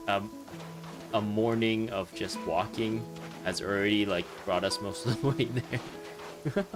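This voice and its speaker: A man giving casual, rambling game commentary in a wry, amused manner. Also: lowish voice. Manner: wry, amused, chuckles while musing. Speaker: let's play streamer commenting on a game. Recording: game music playing behind. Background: video game music and dialogue.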